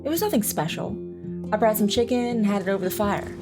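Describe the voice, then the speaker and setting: A woman's voice: mid-range voice, expressive, fast talker, over music, lightly acted. A woman playing a flustered, self-doubting mother who narrates and talks in an audio drama. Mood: reflective narration.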